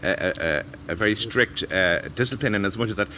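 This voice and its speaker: A man's voice: lowish voice, quick pace, bright, forceful tone, a little hiss or hum. A man interviewing a guest, tentatively rephrasing his points as he goes. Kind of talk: conversational interview.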